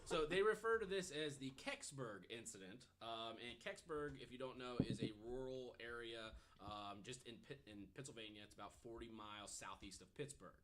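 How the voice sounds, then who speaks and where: A man's voice: mid-range voice, animated, moderate pace, few pauses, a loud crowd. A man on a podcast panel telling a story casually, hedging often with "you know" as he explains.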